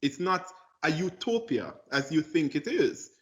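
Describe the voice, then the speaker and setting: A man's voice: a little high, lively intonation, unhurried, dull audio. A young adult Jamaican man with a Jamaican accent, a lawyer talking about his path in an interview, earnest and a little cheeky. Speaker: lawyer guest. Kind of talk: casual interview talk.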